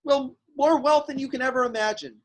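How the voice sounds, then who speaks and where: A man's voice: very high-pitched, a little fast. A man acting an eager, earnest young farm boy hero in a table read. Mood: eager, insistent. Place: virtual call from separate location.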